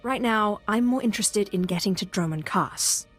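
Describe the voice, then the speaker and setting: An actress playing a composed, courteous Sith apprentice in a video game, with a poised, measured manner. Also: female voice, medium pitch, soft background music, lightly acted. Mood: wary.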